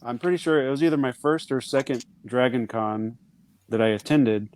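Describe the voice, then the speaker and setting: A man's voice: mid-range voice, moderate pace, noisy background. A man chatting on a podcast panel, speaking hesitantly and reflectively. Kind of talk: casual chat.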